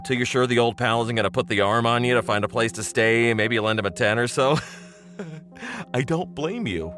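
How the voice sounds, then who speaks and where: An actor playing a chummy old pal with veiled menace in an audio drama monologue, talking straight to the listener in a rambling, needling, familiar way. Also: male voice, mid-range voice, a bit bright. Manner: conversational, rambling, chuckling, pointedly familiar.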